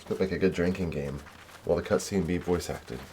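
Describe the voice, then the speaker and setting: A man's voice: low voice, fairly even intonation, brisk, vocal fry, darkish tone, slight background noise. A man doing casual livestream gaming commentary, rambling and sweary with a self-deprecating manner. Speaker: game livestreamer.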